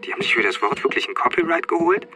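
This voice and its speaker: A man's voice: fairly high pitch, lively intonation, racing, close to an everyday voice. A man playing a flippant, sarcastic geeky friend in naturalistic radio drama dialogue.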